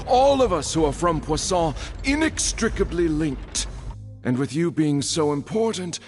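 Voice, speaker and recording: A grand dramatic judge in a video game, super animated, declaims everything, echoey hall with music. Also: male voice, sits high. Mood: fervent. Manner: declamatory, formal, emphatic. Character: theatrical judge, grandiose and fervent, devoted townsman. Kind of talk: scripted video game voice acting. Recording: long courtroom reverb, music behind.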